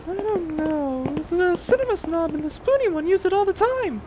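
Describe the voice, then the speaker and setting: A woman's voice: very high voice, animated, normal speed, noisy background. A woman playing a chirpy, wry, flirty spaceship crew member in a casual comic audio drama style. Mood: skeptical, curious.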